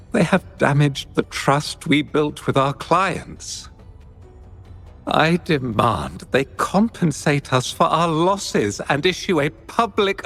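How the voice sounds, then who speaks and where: Brief: a pompous, indignant game art dealer, slow and very animated delivery, soft music under it. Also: male voice, on the high side, heavily acted. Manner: indignant, formal, declamatory. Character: pompous male art exchange head defending his business. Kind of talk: video game voice acting. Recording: game audio with faint background music. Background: faint music.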